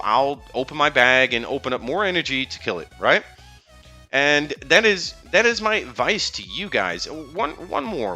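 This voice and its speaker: A man's voice: medium pitch, bright, forceful tone. A man speaking English, giving casual, rambling game advice on a live stream with many fillers and restarts. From Netherlands. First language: Dutch.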